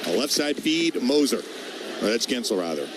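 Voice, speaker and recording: A man's voice: medium pitch, very animated, moderate pace, noisy background. A man doing live radio play-by-play of ice hockey in short, clipped running calls.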